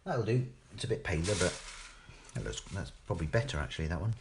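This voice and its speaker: A man's voice: sits low, very animated, fast, creaky, noisy background. A British man chatting casually and thinking aloud as he hosts a live craft demonstration, often tagging questions onto his remarks. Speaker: craft demo host.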